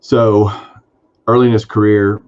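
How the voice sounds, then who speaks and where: A man's voice: lowish voice, little pitch movement, unhurried, a little mellow, lo-fi sound. A man co-hosting a sports card podcast, talking casually and enthusiastically with lots of fillers. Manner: enthusiastic, rambling with frequent fillers. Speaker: podcast co-host.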